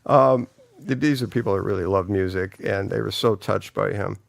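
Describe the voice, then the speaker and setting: An older man with a gravelly, creaky voice recalls family memories as a guest. He speaks haltingly, restarting his sentences and leaning on fillers. The sound is dry and close, with no room around it. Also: lowish voice, no echo. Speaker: guest, son of the pianist. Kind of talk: reminiscing and reading listener comments.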